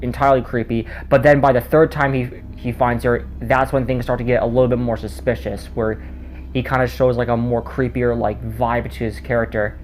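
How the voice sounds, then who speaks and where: A man's voice: medium pitch, little pitch movement, brisk. A man giving a casual spoken video review in a rambling way, often stumbling over his words. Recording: noticeable background noise.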